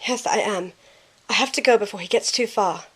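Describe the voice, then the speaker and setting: A woman playing a kind, caring young woman in an audio drama, with a gentle, earnest way of talking. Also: mid-range voice, a little fast, husky, low-quality recording. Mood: worried, urgent.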